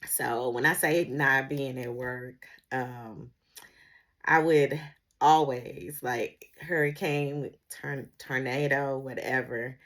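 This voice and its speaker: A woman's voice: a bass voice, fairly even intonation, slow pace. A middle-aged woman talking in a casual, rambling solo vlog style, often searching for her words. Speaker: vlogger, former emergency dispatcher.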